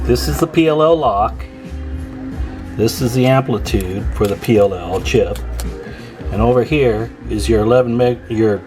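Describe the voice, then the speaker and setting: A man giving an informal repair walkthrough as a technician, thinking aloud while he works. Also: medium pitch. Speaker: radio repair technician, video host. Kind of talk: casual hands-on repair walkthrough.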